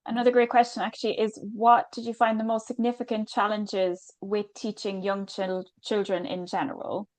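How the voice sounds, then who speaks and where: A woman's voice: mid-range voice, level tone, normal speed, no room sound. Brief Irish woman, webinar host, chatty and reassuring, dry close sound. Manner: chatty, filler-heavy, reassuring. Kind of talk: webinar Q&A, reading out questions.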